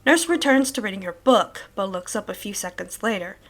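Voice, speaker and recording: A woman reading a nurse's conversation transcript in a horror story, measured as she moves between stage directions and quoted lines. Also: medium pitch, a bit bright. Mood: measured, eerie. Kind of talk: scripted transcript narration.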